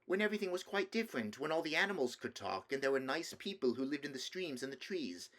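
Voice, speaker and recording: A man's voice: fairly high pitch, lively intonation, fast, a bit bright, voice pushed up. A man voicing an innocent, earnest little boy prince in a storybook, childlike and prone to babbling. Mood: eager, innocent.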